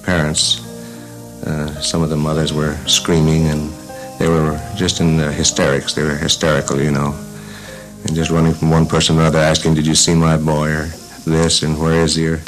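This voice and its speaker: A man with an extremely deep voice gives an eyewitness account, fairly flat in intonation. It is 1950s radio interview tape with faint music. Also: moderate pace, rough recording. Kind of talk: unscripted recollection in interview.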